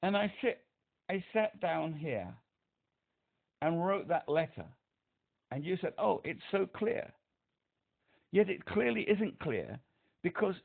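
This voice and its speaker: A man's voice: high-pitched, creaky. A man with a speech impediment, calling a helpline and pressing his point emphatically, repeating himself. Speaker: caller to a helpline. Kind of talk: phone call. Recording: tinny phone line.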